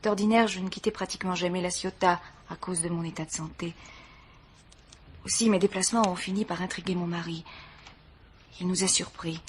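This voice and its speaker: An actress playing a grieving, dignified bourgeois mother in a radio mystery, speaking formally in a measured, sorrowful way. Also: fairly low pitch, steady tone, slight background noise, close to an everyday voice. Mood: sorrowful, restrained. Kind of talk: French radio mystery drama acting.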